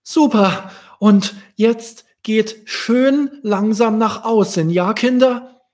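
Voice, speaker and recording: Man voicing a high, coaxing ladybug lady. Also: slow, darkish tone. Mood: encouraging. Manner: coaxing, instructing small children. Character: caring female ladybug minding her little ones.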